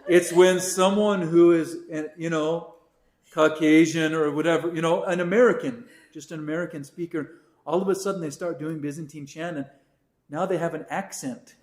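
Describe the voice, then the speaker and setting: A man who is a priest, teaching a catechism class in a relaxed, chatty way with many asides. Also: on the high side, normal speed. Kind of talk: informal class talk. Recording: roomy echo.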